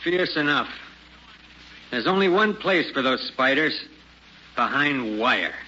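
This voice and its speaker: A hard, grim father answers tersely in a forceful, medium-pitched voice. It sounds like a muffled old radio drama. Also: expressive, slow, exaggerated voice. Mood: grim, dismissive. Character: hard, bigoted frontier father, curt and grim. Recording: muffled old radio recording, some noise.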